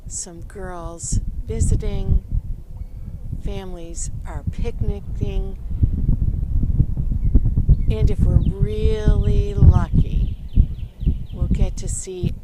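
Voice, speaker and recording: A woman's voice: medium pitch, steady tone, very slow, pauses often. A woman reading a picture book aloud to children as a school librarian, adding simple explanations as she goes. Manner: simple questions and explanations addressed to young children. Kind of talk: storytime read-aloud for children with explanatory asides. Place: outdoors beside a pond. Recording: very noisy outdoor recording with clicks.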